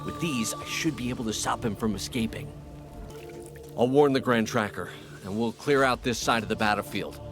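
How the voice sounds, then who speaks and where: A man playing a cunning, confident archer scout in a fantasy game, speaking in a measured, conspiratorial way. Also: medium pitch, lightly acted. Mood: confident, sly. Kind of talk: dramatic fantasy game cutscene acting. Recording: prominent background game music.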